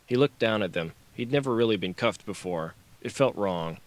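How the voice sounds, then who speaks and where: A man's voice: on the low side, lively intonation, normal speed, no echo. A man narrating a novel as an audiobook, reading scripted fiction on a close microphone.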